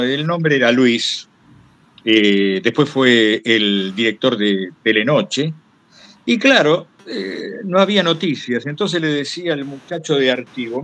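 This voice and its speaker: An older Argentine man speaking at a medium pitch as a guest at a talk. He tells anecdotes reflectively, rambling and halting as he goes. He often repeats a phrase to underline his point. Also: fairly bright tone. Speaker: guest speaker, retired radio broadcaster.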